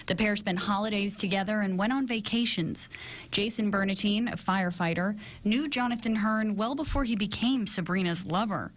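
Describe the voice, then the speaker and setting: A woman's voice: medium pitch, moderate pace, dry recording, poor audio. An American woman delivering television news reporting from the field. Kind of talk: broadcast news reporting, scripted and live. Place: outdoors on location.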